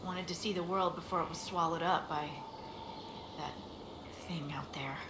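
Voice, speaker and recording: Woman as a lowish-voiced, casual young scout. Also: fairly even intonation, normal speed, natural voice. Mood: modest, earnest. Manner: casual, playful. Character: cheeky young village-born female scout, modest and eager. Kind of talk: video game dialogue acting. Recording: roomy echo, clearly noisy game capture.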